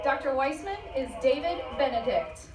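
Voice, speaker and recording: A woman's voice: sits high, moderate pace, background noise. A woman announcer reading out credits to a live audience. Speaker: show announcer. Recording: echoey live stage, loud crowd, distant mic. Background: loud crowd.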